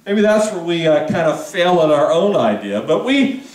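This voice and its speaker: A male pastor with a high, bouncing voice delivers a loose, conversational sermon. He talks to the room, tossing out asides and questions. The sound is roomy and echoey, picked up from some way off the mic. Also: big pitch swings, normal speed, recorded from a distance. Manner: conversational, chatty preaching with asides and audience questions. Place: church sanctuary.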